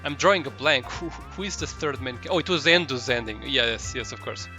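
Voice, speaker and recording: Male game streamer, bright forward voice, casual rambling commentary, hesitant word-searching, music in the background. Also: mid-range voice, fairly quick. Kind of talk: casual live gameplay commentary.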